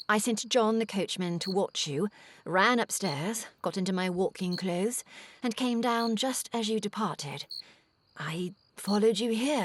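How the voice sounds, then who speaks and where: An actress playing a clever, poised lady adversary in an audio drama, composed and formal, with a hushed, breathy voice. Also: mid-range voice, no room sound.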